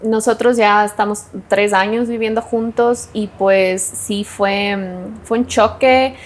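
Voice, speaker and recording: A young woman with an Ecuadorian Spanish accent chats as a podcast guest. She tells stories in a lively way and acts out what people said. Her voice sits at a middle pitch. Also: slow. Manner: warm storytelling, animated quoting, frequent laughs. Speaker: guest sommelier. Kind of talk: casual interview chat.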